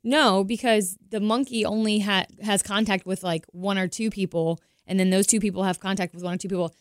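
A woman co-hosting a podcast, a little hoarse from a cough, chatting and explaining things at length. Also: mid-range voice, fairly even intonation, a little fast, dry recording, studio quality. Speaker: podcast co-host. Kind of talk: casual chat.

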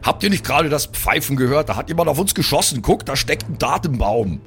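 A man's voice: mid-range voice, big pitch swings, a little fast, a bit bright, faint music behind. A man chatting casually and colloquially as he plays an improvised tabletop role-playing game. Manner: colloquial, slangy.

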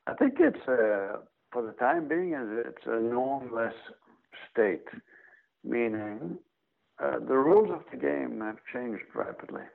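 An older man with an Israeli accent, a psychology professor, answering reflectively with dry humor and a slow, soothing way of speaking, from his home workroom. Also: mid-range voice, very animated, vocal fry. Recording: tinny phone-line audio.

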